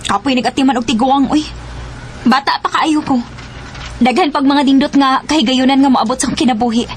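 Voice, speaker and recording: Brash, fast, high-voiced young Filipina mistress played by an actress. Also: female voice, steady tone, lightly acted. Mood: fed up. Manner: brash, complaining. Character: resentful young mistress refusing to nurse an old man. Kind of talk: Filipino radio drama acting. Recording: very noisy radio drama track.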